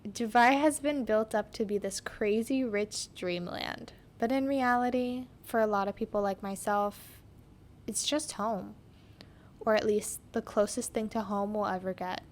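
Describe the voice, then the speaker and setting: A woman's voice: medium pitch, a little slow. A young woman hosting a podcast, telling her story in a reflective, scripted narration. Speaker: podcast host.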